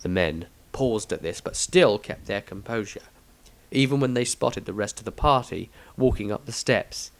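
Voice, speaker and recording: A man's voice: fairly low pitch, normal speed. A man reading a scripted fantasy story as its narrator. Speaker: author and narrator.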